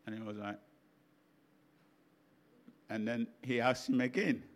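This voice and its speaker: A man's voice: medium pitch, fairly even intonation, slow pace. An older man with a Ghanaian accent, telling a chatty anecdote in a sermon as a preacher. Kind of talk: sermon storytelling.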